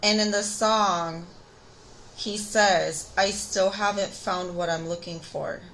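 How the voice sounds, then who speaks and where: A woman in her thirties with a raspy, tired voice, chatting casually to viewers as she reads tarot cards. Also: medium pitch, steady tone, slow pace, a bit bright, a little hiss or hum, poor audio. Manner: chatty, talks straight to viewers. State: tired voice from singing. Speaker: tarot reader. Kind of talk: casual spiritual reading.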